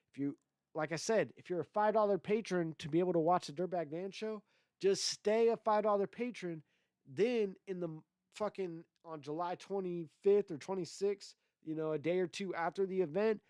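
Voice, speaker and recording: A man's voice: fairly high pitch, darkish tone, no room sound, studio quality. A man hosting a solo livestream podcast, talking in a loose, rambling way with a lot of swearing, his voice stuffy from congestion. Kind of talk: casual profane livestream rant.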